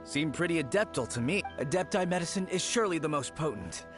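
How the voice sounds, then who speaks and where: Man as an earnest, awestruck male believer, higher-pitched. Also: expressive, fast talker, over music, lightly acted. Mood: reverent, hopeful. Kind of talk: video game townsfolk voice acting.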